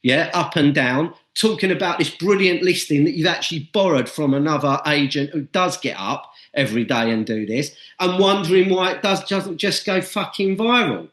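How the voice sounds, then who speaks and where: A man's voice: on the high side, moderate pace, few pauses, a bit bright. A man co-hosting a podcast, ranting bluntly and swearing freely in casual chat. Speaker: podcast co-host. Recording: echoey room.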